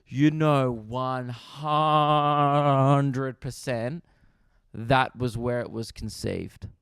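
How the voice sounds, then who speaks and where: Australian male comedy podcast host, close mic, smooth clear voice, loose and sweary, cracks himself up. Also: medium pitch, steady tone, very slow, a bit bright, no room sound. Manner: riffing, swears freely, laughs at his own jokes. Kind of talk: casual solo podcast chat.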